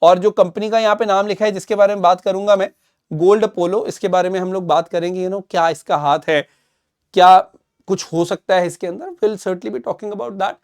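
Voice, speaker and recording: A man's voice: sits high, fairly even intonation, moderate pace, a little mellow. An Indian man presenting current affairs like a teacher, emphatic and didactic, slipping between Hindi and English phrases. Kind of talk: explanatory news commentary for students.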